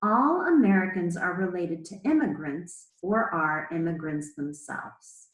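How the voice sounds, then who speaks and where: A woman speaking as an elementary school teacher, reading aloud to children in a measured, explanatory way with pauses between phrases. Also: fairly low pitch, animated, echoey room, low-quality recording. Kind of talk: read-aloud lesson to children.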